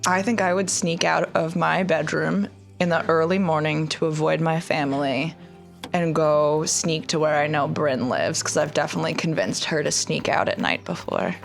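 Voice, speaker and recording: A woman's voice: deep voice, moderate pace, creaky, soft background music. A woman player in a tabletop game, talking casually and thinking aloud as she plays her character.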